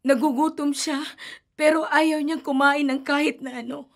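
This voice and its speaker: A woman's voice: high-pitched, fairly even intonation, normal speed. A woman voicing a desperate, grieving mother in a horror radio drama, pleading and emotional. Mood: anguished.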